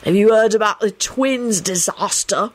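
A woman's voice: mid-range voice, measured pace, pitched above the performer's own voice, close to an everyday voice. A woman voicing a cheeky, scheming male wizard, emphatic and conspiratorial, in a story reading. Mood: sympathetic, emphatic.

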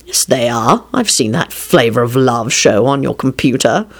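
A woman voicing a mild, apologetic wizard with a soft-spoken, dry manner in a fantasy story. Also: rumbling low, animated, moderate pace, slightly rough, voice pushed down, heavily acted. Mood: dry certainty.

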